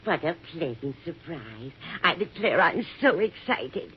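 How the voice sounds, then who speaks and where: A fluttery, effusive old landlady from a 1950s radio mystery. Her lowish voice swings widely in pitch as she fusses and chatters. The recording is muffled, with some noise. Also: very animated, a little slow. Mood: delighted. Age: older. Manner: effusive, fussy, exclaiming, talkative. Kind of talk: 1950s radio drama acting.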